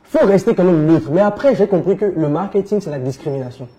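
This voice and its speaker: A man with a West African French accent, answering conversationally as an interview guest, telling his experience in an animated way. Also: high-pitched, brisk. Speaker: interview guest, entrepreneur and coach.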